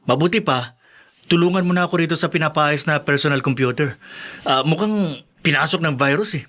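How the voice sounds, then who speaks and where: Guy playing excitable young province guy in a radio drama, pretty high pitched, carefree. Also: a little fast. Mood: easygoing. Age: young adult. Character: eager, carefree young provincial man, college-age.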